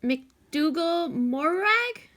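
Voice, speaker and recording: A man reading aloud and voicing a stern woman teacher who calls out names in a slow formal roll call. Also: very high-pitched, very animated, bright, forceful tone, pitched above the performer's own voice, full character voice.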